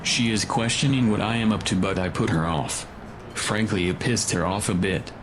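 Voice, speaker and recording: A man's voice: fairly low pitch, normal speed, background noise, natural voice. A man playing a wry, matter-of-fact secret agent and reluctant conspirator in a sci-fi audio drama. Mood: wry, regretful.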